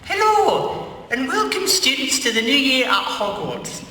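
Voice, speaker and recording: Man playing a pompous, forceful headteacher in an echoey hall. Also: very high-pitched, very animated, measured pace, full character voice. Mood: booming, grand. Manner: grandiose, speech-making, tactless. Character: pompous, blunt school head addressing students. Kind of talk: comic amateur stage radio play. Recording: very echoey hall, distant mic, faint noise.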